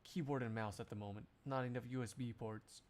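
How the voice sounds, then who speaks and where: A man's voice: medium pitch, steady tone, fairly quick, darkish tone. A man livestreaming, chatting casually to his viewers in a jokey, rambling, exclamatory way. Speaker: live streamer.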